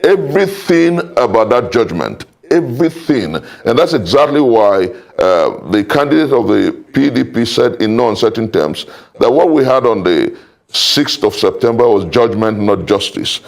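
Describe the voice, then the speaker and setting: A man's voice: mid-range voice, lively intonation, moderate pace, vocal fry. A Nigerian man giving argumentative political commentary on a panel, emphatic and formal, repeating phrases for weight in legalistic language.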